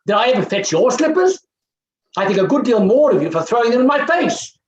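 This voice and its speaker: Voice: medium pitch, very animated, brisk, dull audio, a little hiss or hum, close to an everyday voice. An actor playing an arrogant, overbearing middle-aged bachelor professor in a theatrical play reading, pompous and scornful, with a roomy, echoing sound. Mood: scornful, indignant.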